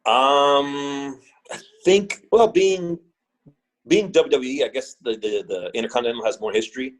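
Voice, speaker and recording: A man's voice: medium pitch, a little slow, low-quality recording. A man from Puerto Rico, a wrestler guest on a podcast, chatting casually and often repeating words as he thinks.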